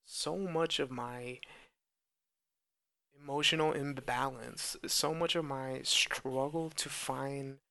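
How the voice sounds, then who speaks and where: A young man speaking slowly and earnestly in a personal monologue, with stuttered repeats and blocks. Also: medium pitch, steady tone, creaky, pushed and bright. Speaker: podcast host who stutters.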